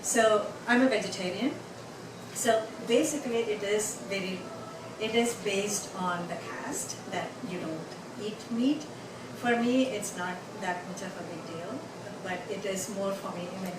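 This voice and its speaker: A middle-aged woman with an Indian accent, telling a personal story on stage in a rambling, chatty way with many fillers. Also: medium pitch. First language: Tamil. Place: bar venue. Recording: echoey venue, mic from a distance, noisy.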